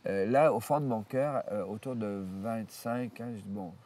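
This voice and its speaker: A man, a priest telling stories from his life in an interview, rambling with many hesitations and restarts and lively retelling of what others said. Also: on the low side, moderate pace, far from the mic. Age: 70s. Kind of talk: storytelling interview. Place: outdoors in nature.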